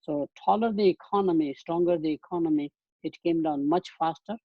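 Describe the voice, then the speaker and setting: A man's voice: fairly high pitch, brisk, no room sound. A man in his eighties with an Indian accent, giving a webinar lecture from slides in loose, rambling sentences with frequent restarts, over a video call. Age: 80s. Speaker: guest speaker. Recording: dull dry webcast audio.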